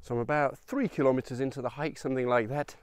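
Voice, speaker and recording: A man's voice: medium pitch, steady tone, fast, clean tone. A man in his thirties giving casual, informal commentary as he hosts a hiking vlog, with wry asides. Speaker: host of a history hiking vlog. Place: outdoors in open countryside on a hiking trail. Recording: outdoor noise, open-air ambience.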